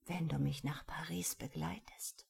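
A domineering male seducer from a romance audiobook, acted out by a woman. The voice is low, husky and hushed. He sounds self-assured and possessive, with quiet menace. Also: fairly even intonation, unhurried, full character voice. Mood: insistent, threatening. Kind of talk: romance audiobook character dialogue.

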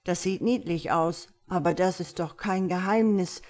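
A woman's voice: fairly low pitch, measured pace, darkish tone, close to an everyday voice. A woman voicing an innocent, curious little boy in a storybook, with a pleading, childlike way of asking. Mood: puzzled, disappointed. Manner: pleading, wide-eyed, childlike questioning. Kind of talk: storybook character voice.

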